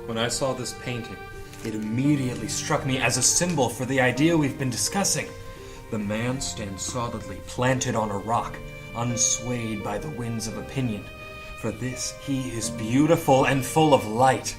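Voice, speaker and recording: A man's voice: mid-range voice, normal speed, music in the background, close to an everyday voice. A man playing an earnest, solemn leader of a secret political movement, speaking like an orator in an audio drama read-through. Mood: composed, formal.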